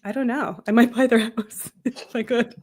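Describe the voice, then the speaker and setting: A woman's voice: on the high side, fairly even intonation, normal speed, a little mellow. A bubbly woman from New Jersey chats as a guest on a live show. She laughs easily and fills her talk with "like" as she rambles along. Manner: bubbly, laughs often, uses "like" a lot. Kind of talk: casual chat.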